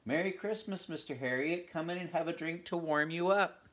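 A man voicing a kindly, tender woman who dotes on her pets, in a gentle storybook reading. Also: a little high, moderate pace, pitched above the performer's own voice, natural voice. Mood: cheery. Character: kindly woman pet owner, tender and cheerful.

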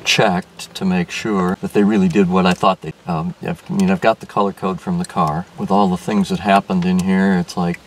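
An older man explaining a car radio install as he works, thinking aloud with frequent restarts and fillers, recorded inside a car in daylight. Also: low-pitched. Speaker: car restoration vlogger. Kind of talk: casual hands-on explanation. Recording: distant mic with faint background noise.

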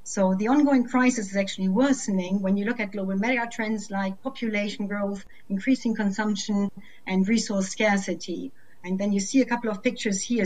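A woman's voice: medium pitch, a little hiss or hum, poor audio. Brief: young woman, webinar host, friendly scripted moderator questions, video call.

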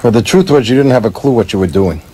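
Man playing a gruff, cantankerous screenwriter. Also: medium pitch, expressive, fast, warm tone, a little hiss or hum, natural voice. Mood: needling, surly. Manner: gruff, profane, combative. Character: cantankerous, boozy middle-aged screenwriter, bitter and argumentative. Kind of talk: radio play acting.

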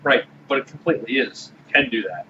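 A man hosting a casual beer-tasting podcast who speaks in a measured way and steers the talk. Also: mid-range voice, expressive, sharp tone, recorded from a distance. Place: small room with orange walls. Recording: dull sound with faint hiss.

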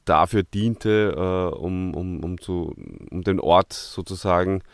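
A man giving his opinions in a casual podcast discussion, in a measured, halting way. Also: low-pitched, fairly even intonation, no room sound, studio quality. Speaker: podcast reviewer.

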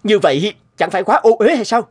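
Scornful senior doctor in a cartoon, raised pitch, emphatic, music behind. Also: male voice, expressive, brisk, slightly rough. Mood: indignant. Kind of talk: cartoon dubbing.

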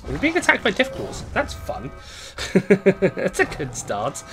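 A young man with a hoarse, fading voice, chatting casually to viewers as he live-streams a game at home on a desk mic. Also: sits high, wildly swooping pitch, slow pace, faint music behind, low-quality recording. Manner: chatty, greets viewers, reads chat aloud. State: hoarse, voice going.

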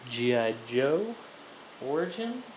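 A collector casually showing off finds, announcing items in short clipped phrases with long pauses. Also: medium pitch, very animated. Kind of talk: casual comic haul show-and-tell, listing titles. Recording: background noise, amateur camera microphone.

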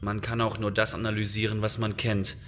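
A man playing a commanding, weary spaceship captain in a sci-fi horror radio drama, speaking deliberately. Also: fairly low pitch, completely flat delivery, sharp tone, soft background music. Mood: sober, concerned. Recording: clearly noisy background ambience.

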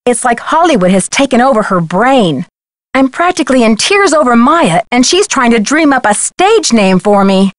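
A woman's voice: fairly high pitch, very animated, normal speed, no echo, studio quality. An actress playing an earnest, inquisitive young female detective in a video game. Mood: exasperated. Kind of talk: scripted video game dialogue.

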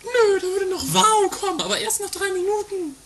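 A woman's voice: very high voice, fairly even intonation, a little fast, creaky, a little hiss or hum. A woman voicing a cheeky, self-important little creature with a teasing, haughty way of chatting in an improvised comic audio play. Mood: smug, boastful. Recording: loud music under the voice.

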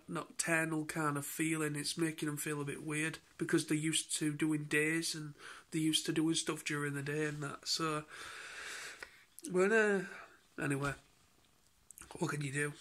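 A man's voice: fairly high pitch, pushed and bright. A man in his thirties with a British accent, tired and stuffed up from a cold, rambling casually in a daily vlog from his living room with a phone held at arm's length. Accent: British English. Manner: rambling and halting, many restarts and fillers. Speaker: vlogger. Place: living room at home, indoors. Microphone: phone camera held at arm's length.

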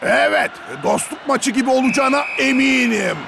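A man voicing an excitable, bombastic football commentator in a cartoon, declaiming dramatically over loud music. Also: very high voice, moderate pace, vocal fry. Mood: dramatic.

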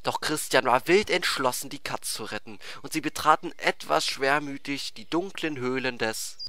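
A man's voice: medium pitch, moderate pace, pushed and bright, no echo. A man narrating a comic fantasy tale in a theatrical, emphatic scripted style. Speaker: storyteller.

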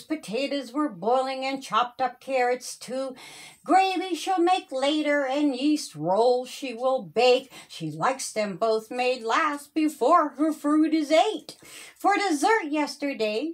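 A woman with a high voice reads a rhyming children's story. She takes it very slowly, with a sing-song lilt and drawn-out phrase endings. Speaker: children's author reading her own story.

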